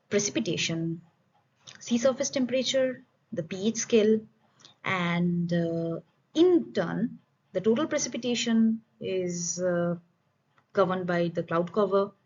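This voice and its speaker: Husky woman giving an academic presentation. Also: mid-range voice, darkish tone. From India. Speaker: student researcher presenting a study. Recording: dull, lo-fi voice recording.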